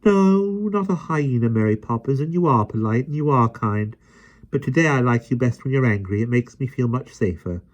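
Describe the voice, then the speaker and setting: A man's voice: medium pitch, expressive, normal speed, muffled recording, pitched above the performer's own voice, natural voice. A man voicing an earnest young boy who speaks in an eager, pleading way.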